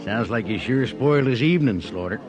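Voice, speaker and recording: An actor playing an earnest, folksy cattle buyer in an Old West radio drama. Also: male voice, mid-range voice, lively intonation, brisk, lo-fi sound, music in the background, natural voice. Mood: businesslike. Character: plain-spoken, civic-minded male frontier cattle buyer. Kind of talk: 1950s radio western acting. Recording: vintage radio drama recording.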